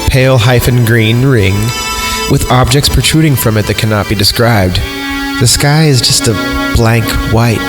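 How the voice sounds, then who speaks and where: A man hosting a podcast, casually reading horror stories aloud with rambling, sardonic, profane asides. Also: on the low side. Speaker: podcast host. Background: music.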